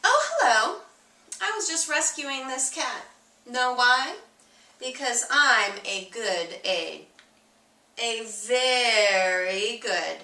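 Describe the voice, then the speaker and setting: Woman reading as an earnest storybook good egg, bright and echoey. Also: fairly high pitch, recorded from a distance, heavily acted. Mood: cheerful, proud. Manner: expressive, drawn-out, emphatic delivery.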